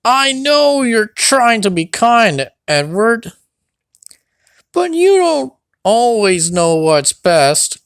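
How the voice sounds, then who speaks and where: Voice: mid-range voice, big pitch swings, extremely slow, smooth, no room sound, studio quality, voice pushed up, exaggerated voice. A reader voicing a cheeky, stubborn little male tank engine in a children's storybook. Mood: cheeky, defiant. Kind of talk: children's storybook character reading.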